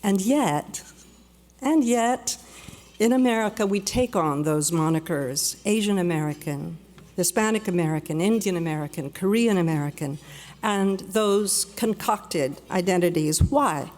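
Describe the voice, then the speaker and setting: A woman born in Peru giving a measured, reflective prepared speech at a luncheon, slowing down for emphasis. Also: on the low side, animated, darkish tone. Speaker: guest speaker. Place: banquet hall. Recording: long reverb of a large hall.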